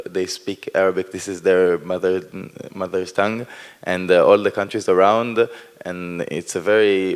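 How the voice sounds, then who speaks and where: A man's voice: low voice, smooth. A man whose first language is Hebrew, speaking English in a post-performance discussion, hesitant and searching for words. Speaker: performer in post-show talk. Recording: reverberant hall.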